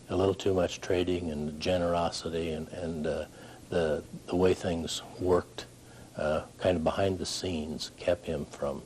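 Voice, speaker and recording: A man giving an informal, reflective interview recollection, pausing and searching for words. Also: low-pitched, darkish tone, slight background noise. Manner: halting, reflective. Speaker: documentary interviewee.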